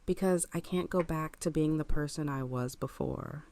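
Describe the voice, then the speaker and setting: A woman playing a hardened, self-controlled housewife in an audio drama, with a gravelly voice and a dry, firm manner. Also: sits low, normal speed, warm tone. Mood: firm, guarded. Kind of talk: fan audio drama acting.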